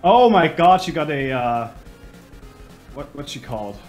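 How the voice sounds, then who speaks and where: A man's voice: a little high, very animated, soft background music. A man chatting casually over a voice call. He swears freely, restarts his sentences often and sounds eager about what he is saying. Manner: enthusiastic, profane, halting restarts.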